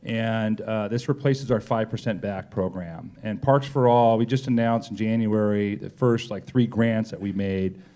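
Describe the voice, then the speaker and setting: A man's voice: lowish voice, moderate pace, hardly any pauses, mellow. A man speaking as a company executive in a live interview, conversational and sprinkled with "kinda" and "you know". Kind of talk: live panel interview answers. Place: on stage at a live pub talk event.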